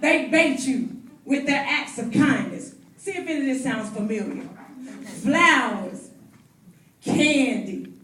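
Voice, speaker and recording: A woman's voice: high-pitched, animated, fairly bright tone, a little hiss or hum, heavily acted. A woman acting in a stage play as a blunt, sassy, protective best friend, with emphatic, sardonic delivery. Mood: sardonic, schooling. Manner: emphatic, drawn-out, sardonic, preacherly flourishes. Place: stage in a roomy hall. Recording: echoey hall from far off.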